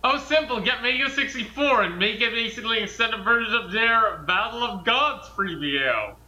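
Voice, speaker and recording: A man's voice: very high voice, expressive, moderate pace, sharp tone, poor audio. A man chatting and joking casually as a co-commentator.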